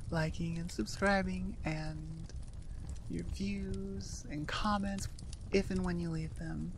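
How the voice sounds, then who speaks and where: A woman's voice: mid-range voice, slight background noise. An American woman talking casually and chattily to her listeners, joking about herself. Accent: General American. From United States. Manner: chatty, self-deprecating, laughing at herself. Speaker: ASMR voice actor. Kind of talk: casual outro chat.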